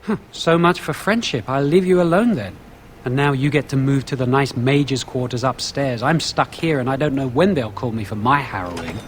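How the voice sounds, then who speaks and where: An actor playing a friendly but anxious and insecure young apprentice mage in a video game, earnest and worried. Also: male voice, mid-range voice, normal speed, natural voice. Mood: hurt, insecure. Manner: earnest, worried, slightly wounded. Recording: game dialogue over faint ambient noise.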